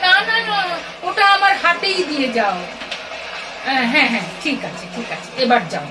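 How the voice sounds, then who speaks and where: A woman's voice: high-pitched, big pitch swings, moderate pace, music in the background, a crowd in the background. A woman acting a fussy, chatty middle-aged mother in an audio play, fretting and repeating herself. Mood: flustered. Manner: fretful, exclaiming, repeats words in a fluster. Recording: distant mic, clearly noisy ambience.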